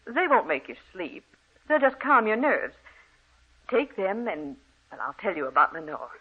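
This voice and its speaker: A slightly dull old radio recording of a dutiful nurse in a horror play. She has a husky, very lively voice, deferential yet gently coaxing. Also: medium pitch, normal speed. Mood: coaxing, composed. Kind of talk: vintage radio horror drama acting.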